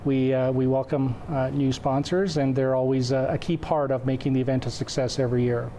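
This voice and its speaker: A man's voice: medium pitch, level tone, fairly quick, mellow, slight background noise. A man in his forties from western Canada whose first language is Croatian, speaking as an event organizer in an informal TV interview. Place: indoor TV studio. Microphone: lapel mic.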